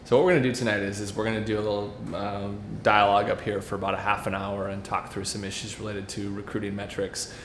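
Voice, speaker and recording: Flat-voiced man moderating a panel. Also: fairly low pitch, fast, few pauses. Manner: relaxed, many fillers and restarts. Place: event room before an audience. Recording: echoey room with faint noise.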